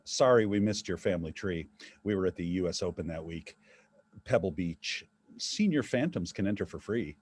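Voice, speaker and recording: A man acting a sardonic old-school father's ghost in a stage play. He needles and scolds with a dry, mocking edge, pitch swinging widely, in a close, dry sound. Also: lively intonation, normal speed, darkish tone, breathy edge, dry recording. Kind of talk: theatrical staged play reading.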